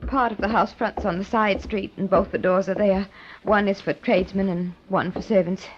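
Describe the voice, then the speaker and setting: A woman's voice: medium pitch, steady tone, moderate pace, natural voice. A woman playing a polite, anxious lady of the house in an old radio drama, with a genteel, hesitant manner. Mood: polite, uneasy. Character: polite, uneasy wealthy married lady of the house. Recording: muffled, noisy old radio recording.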